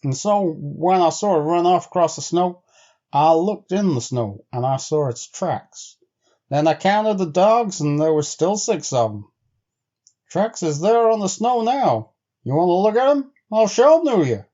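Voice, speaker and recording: A man voicing an uneasy, insistent sled-dog driver in a storybook, speaking gravely and deliberately in rustic, old-time phrases. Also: sits high, sing-song, mellow, highs cut off, voice pushed up. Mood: stubborn, defensive. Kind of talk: storybook character voice.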